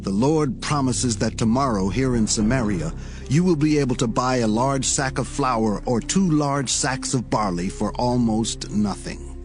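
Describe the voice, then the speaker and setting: An actor playing an authoritative, measured prophet in a dramatized Bible reading. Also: male voice, mid-range voice, natural voice. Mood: solemn. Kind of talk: dramatized Bible audio acting. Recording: music behind the voice.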